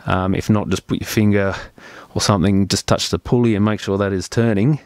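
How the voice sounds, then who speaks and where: A man's voice: on the low side, fairly even intonation, fairly quick, darkish tone, no room sound. A man presenting an unscripted hands-on repair tutorial as a technician, explaining each step in a plain-spoken, practical way.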